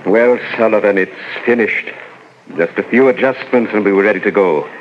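An actor playing a menacing mad doctor in 1940s radio horror, speaking with formal, measured, condescending politeness. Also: male voice, on the low side, close to an everyday voice. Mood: coolly condescending. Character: menacing, superhumanly heavy male mad doctor, coolly polite. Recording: muffled noisy 1940s radio recording.

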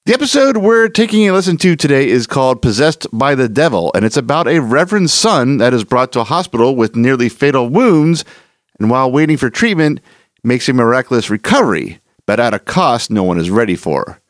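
A man's voice: mid-range voice, sing-song, fairly quick, no echo, studio quality. A man hosting a podcast, introducing an old radio episode in a friendly, explanatory way. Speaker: podcast host.

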